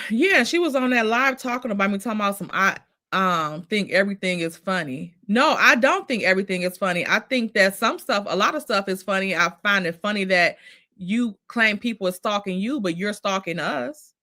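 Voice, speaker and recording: Bright, sassy woman host. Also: medium pitch, expressive, normal speed. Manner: sassy, exasperated, laughs often. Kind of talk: casual live commentary on reality drama.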